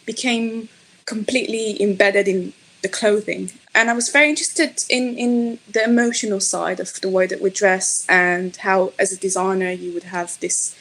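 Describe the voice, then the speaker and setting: A middle-aged woman from Israel whose first language is Hebrew, her voice sitting in an ordinary middle pitch. She answers interview questions thoughtfully, pausing with fillers and restarting her sentences as she works out what she wants to say. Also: steady tone, a bit bright, poor audio. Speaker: podcast guest, fashion designer. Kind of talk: conversational interview.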